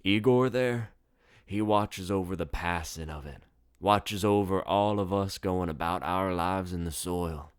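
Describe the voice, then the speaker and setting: A man's voice: low voice, unhurried, clean tone. Man putting on a drawling old Western bartender. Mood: folksy, mysterious. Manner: drawling, folksy, theatrical. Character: folksy old male saloon bartender, boastful storyteller.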